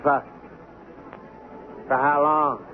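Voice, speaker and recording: Anxious, guilt-ridden husband, old radio. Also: male voice, a little high, fairly even intonation, very slow. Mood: fearful. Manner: agitated, anxious, pleading. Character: guilt-ridden, frightened, pleading older husband, town's respected citizen. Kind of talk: old-time radio drama acting. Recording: muffled, hissy vintage radio recording.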